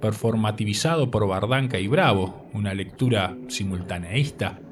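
A man's voice: lowish voice, expressive, far from the mic, over music. Man reading a scripted radio segment. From Uruguay. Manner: measured, reading a prepared text. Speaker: radio presenter.

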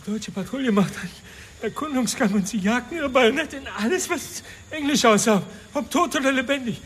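An actor in a German radio drama playing a wealthy older father haunted by war, halting and stammering as he speaks. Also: extremely high pitch, lively intonation, a bit bright. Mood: anguished, halting.

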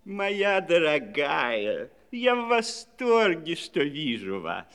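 A man playing an anxious, excitable king in a fairy-tale audio play, fussy, commanding and theatrical. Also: a little high, slow, faint music behind, heavily acted. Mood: courtly delight.

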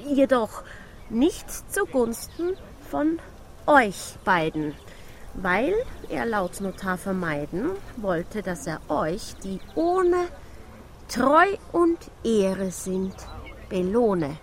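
A woman's voice: mid-range voice, very animated, very slow, a little hiss or hum, full character voice. An actress playing a defensive, embarrassed wife and cleaning woman, earnest and hesitant, in a rhymed radio drama. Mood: confessing. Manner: earnest, hesitant, self-justifying.

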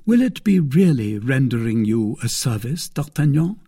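A man voicing a courteous, discreet gentleman musketeer, formal and polite, in an audiobook story. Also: medium pitch, big pitch swings, normal speed, slightly rough. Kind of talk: dramatised audiobook reading.

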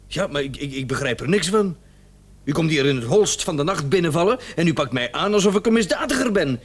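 A man's voice: on the high side, lively intonation, brisk, husky. An actor playing a flustered, indignant and evasive male doctor in a radio drama, stammering and repeating himself when agitated. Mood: nervous, defensive.

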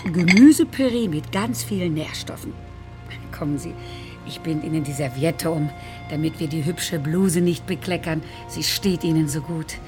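A woman's voice: low voice, normal speed, over music, natural voice. Woman playing a fussing, chatty housekeeper. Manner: chatty, fussing, motherly small talk. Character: chatty older female housekeeper and carer, fussing.

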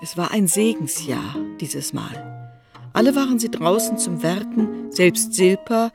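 A woman narrating a literary radio drama in a calm, measured manner. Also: mid-range voice, music in the background.

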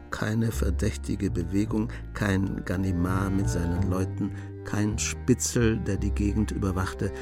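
A man narrates a scripted radio play. His voice sits fairly low and he tells the story in a measured way. Music runs behind his words.

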